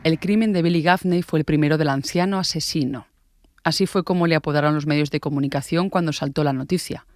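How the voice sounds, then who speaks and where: Higher-pitched man presenting true crime. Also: fast talker, no echo, studio quality, soft background music. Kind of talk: scripted true-crime narration.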